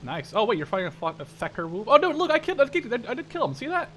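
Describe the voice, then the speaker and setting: Animated, bright-voiced man gaming. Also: very high-pitched, rapid-fire, a little hiss or hum. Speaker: video game player. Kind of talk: casual gaming chat with a teammate.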